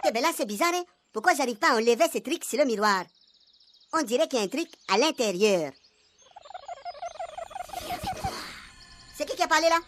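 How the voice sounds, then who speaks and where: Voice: high voice, expressive, fast talker, noisy background. A performer playing a puzzled, insistent young housemate in a comic radio serial. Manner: bewildered, insistent. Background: music.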